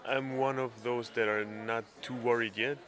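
A man's voice: medium pitch, fairly even intonation, moderate pace, crowd noise. A young man from Germany, a student, chatting easily into a handheld mic in an indoor lounge. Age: 20s. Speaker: student interviewee. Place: indoor university lounge booth. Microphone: handheld interviewer mic.

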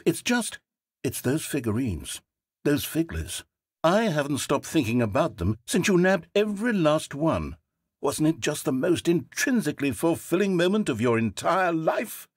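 British game narrator, wry and wistful, pitch swings all over, dry booth sound. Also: male voice, slightly rough, no room sound. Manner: wry, theatrical, swinging between wistful and sarcastic. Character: wistful, needy male narrator voice, self-absorbed. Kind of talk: scripted video game narration.

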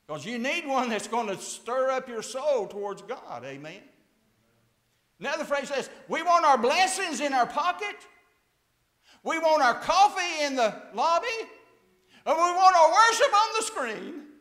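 Older man, preacher, high-pitched voice, very lively delivery, echoey church sanctuary. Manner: preaching with big swings from hushed to shouted. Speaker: pastor. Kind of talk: fiery sermon. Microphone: lapel mic, far camera.

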